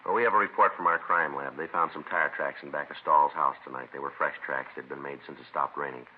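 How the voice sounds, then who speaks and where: Really low voiced cop, talks quick and flat, old tinny noisy radio show. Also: male voice. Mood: dry, official. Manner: clipped, matter-of-fact, deadpan. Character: calm, dogged male police detective and narrator. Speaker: police detective. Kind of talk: 1950s radio crime drama.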